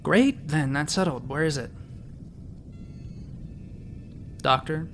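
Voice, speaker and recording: A man's voice: fairly high pitch, lively intonation, moderate pace, background noise. An actor playing an earnest, eager doctor in his thirties, patient but a little awkward, in a sci-fi comedy audio drama. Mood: patient, firm. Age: 30s. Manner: sincere, patient, slightly awkward. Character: earnest, eager thirty-four-year-old doctor, easily flustered.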